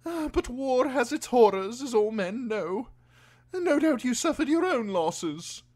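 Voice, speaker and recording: A man voicing a pompous older highborn lord, a pampered prisoner with a screechy edge, in a fantasy audiobook. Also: big pitch swings, measured pace, darkish tone, heavily acted. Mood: haughty, prattling. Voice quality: shrill, screechy when upset. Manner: pompous, theatrical, aristocratic.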